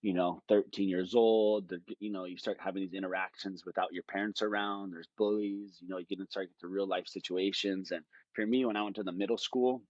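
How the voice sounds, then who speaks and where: A man's voice: deep voice, steady tone, fairly quick, hardly any pauses, a little mellow, no room sound, muffled recording. A man, telling stories in a rambling, easygoing way as a podcast guest. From Hawaii. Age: late 20s. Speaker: guest, jiu-jitsu fighter. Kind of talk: casual podcast conversation.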